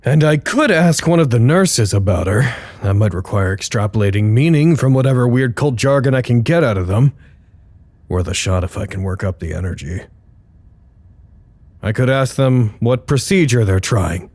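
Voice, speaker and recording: A man acting in an audio drama as a tired, troubled man who muses to himself in a dry, measured way. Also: medium pitch, expressive, darkish tone, natural voice. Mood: dry, weary. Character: tired, troubled man, dryly sceptical and brooding.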